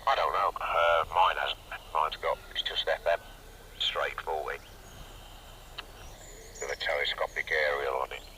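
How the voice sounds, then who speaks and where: A man's voice: a bass voice, fairly even intonation, a little slow, long pauses, sharp tone. A man chatting casually as a radio operator, heard over a two-way radio. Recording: slightly noisy CB radio reception.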